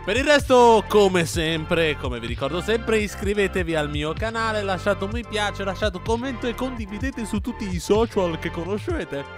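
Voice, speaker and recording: A man's voice: high voice, animated, moderate pace, few pauses, music in the background. A man speaking casually as a channel host, addressing his viewers in a sign-off.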